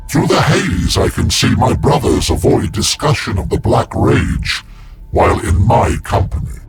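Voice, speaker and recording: A man playing a grim, weary veteran space marine psyker in a video game, narrating solemnly in a breathy, gravelly voice. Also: medium pitch, background noise, exaggerated voice. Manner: solemn, portentous. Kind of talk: dramatic scripted game narration.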